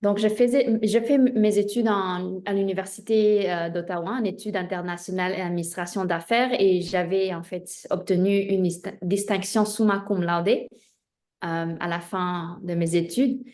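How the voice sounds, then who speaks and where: A young woman, raised in Spain with Spanish as her mother tongue, talks about herself as a guest in an interview. Her voice sits a little on the low side, and she speaks in a measured way. She often pauses between phrases as she gathers her thoughts. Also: steady tone, darkish tone. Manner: measured, with frequent hesitations. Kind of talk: self-introduction in an interview.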